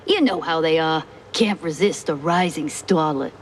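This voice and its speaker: A woman voicing a brash, excitable explosives worker from a film lot in a cartoon noir game, chatty and slangy. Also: low-pitched, normal speed, a little hiss or hum, lightly acted. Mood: cheeky, gossipy. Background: music.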